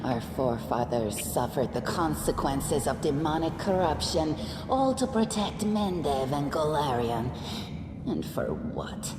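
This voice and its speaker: A woman's voice: low voice, lively intonation, measured pace, background noise. An actress playing a proud, bitter cave-dwelling mongrel woman in a video game, solemn and scornful. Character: proud, bitter young female mongrel cave-dweller, defensive of her people. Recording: echoey hall, music behind.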